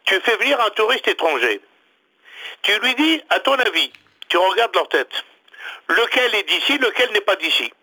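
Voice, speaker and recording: A man argues hard on a radio program, firing off repeated questions to pin down the other side. His voice is bright and tense, and his pitch swings widely as he pushes his point. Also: very animated, normal speed, poor audio. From not from France. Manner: insistent, presses with repeated questions. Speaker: radio debater. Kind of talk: heated radio debate.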